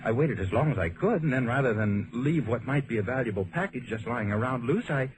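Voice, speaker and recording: Guy playing worried honest captive in old radio play, hesitant, average pitch, noisy old tape. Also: lively intonation, fairly quick, lightly acted. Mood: anxious, earnest. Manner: earnest, hesitant. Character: earnest, troubled male bonding agent held captive. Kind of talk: old-time radio drama acting. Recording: noisy vintage radio recording.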